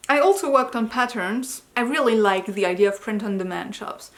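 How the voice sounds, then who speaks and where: A woman's voice: a little high, lively intonation, normal speed, a bit bright. A woman who grew up in Germany, tired, chatting loosely in a personal vlog update as an artist, often correcting herself and laughing lightly. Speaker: artist vlogger.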